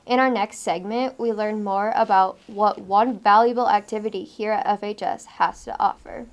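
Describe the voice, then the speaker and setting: A woman's voice: mid-range voice, fairly even intonation, moderate pace. A teenage girl co-anchoring a school news show, reading in an upbeat way. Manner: upbeat, scripted.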